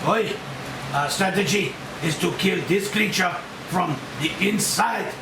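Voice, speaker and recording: A man's voice: sits high, measured pace. A man playing a blunt, technical animal expert briefing a dangerous mission in a screenplay table read. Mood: instructive, grave. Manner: punctuates explanations with "Oi!". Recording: roomy, distant mic, clear background hiss.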